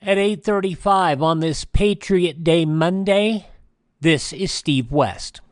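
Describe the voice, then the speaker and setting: Slow-talking man hosting morning radio, dry studio. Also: on the high side, no echo. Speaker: radio morning show host. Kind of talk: live talk radio.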